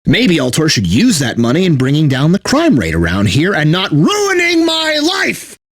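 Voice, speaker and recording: Bitter radio DJ, wildly swooping delivery. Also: male voice, fairly high pitch, wildly swooping pitch, normal speed, slight background noise. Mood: exasperated, bitter. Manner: exaggerated announcer delivery with sardonic, exasperated asides. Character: bitter, burnt-out male corporate radio DJ, sarcastic and despairing. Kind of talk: radio DJ links with sarcastic asides.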